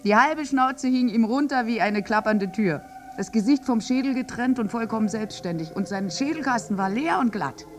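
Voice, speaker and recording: A woman's voice: a little high, expressive, normal speed, soft background music, natural voice. An actress playing a bitter, wounded village woman who survived a massacre, in a theatrical, morbidly wry radio drama monologue. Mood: grim, sardonic.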